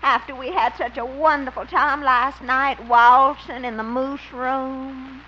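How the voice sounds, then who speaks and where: A coy, slow-talking sweetheart. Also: female voice, sits high, flat delivery. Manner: coy, drawn-out. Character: flirtatious lady friend, coy and romantic. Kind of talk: 1950s radio sitcom acting. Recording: dull old radio transcription with hiss.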